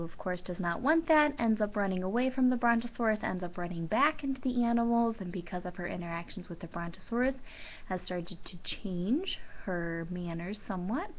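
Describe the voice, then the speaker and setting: A woman teacher reading a storybook aloud and commenting for students, with deliberate, emphatic phrasing and pauses. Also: mid-range voice. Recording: dull lo-fi sound.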